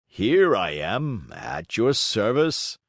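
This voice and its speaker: A man voicing a surly, weary old carpenter in a storybook, with drawn-out delivery. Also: mid-range voice, very animated, vocal fry, fairly bright tone, dry recording, clean studio sound, heavily acted. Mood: weary, dry.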